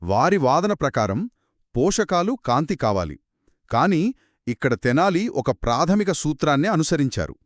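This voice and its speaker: A man's voice: fairly high pitch, big pitch swings, moderate pace, dry recording, studio quality. A man co-hosting a conversational story discussion in an analytical, explanatory way. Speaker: podcast co-host.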